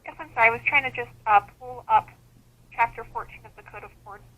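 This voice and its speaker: A woman official with a bright, slightly high voice handles a phone inquiry. She explains procedure formally, as a clerk would to a caller. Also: quick pace, highs cut off. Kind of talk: customer service phone call.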